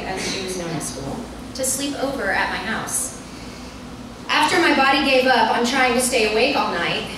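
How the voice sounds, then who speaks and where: A woman's voice: on the high side, far from the mic. A woman author reads a humorous personal essay aloud to a live audience at a bookstore event, with wry, self-deprecating, performative storytelling. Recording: echoey, noisy room, recorded from afar.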